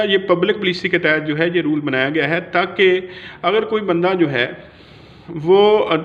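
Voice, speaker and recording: A man with a Pakistani accent giving an informal explanatory law lecture that mixes in English legal terms, in a halting, repetitive way. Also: high-pitched. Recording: dull, lo-fi audio.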